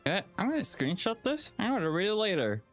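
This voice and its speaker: A man's voice: high-pitched, very animated, fairly quick, over music. A man giving casual gameplay commentary as a streamer. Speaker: streamer playing a game.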